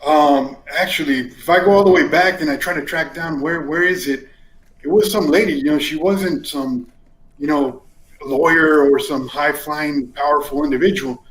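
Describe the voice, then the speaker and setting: In a roomy, echoing space, a man in his forties talks in a fairly high voice. He is a native Spanish speaker from California, an interview guest telling stories in a casual, animated way. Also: moderate pace, slight background noise. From East San Jose, California, United States. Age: 40s. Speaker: interview guest, community politics commentator. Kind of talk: casual personal storytelling.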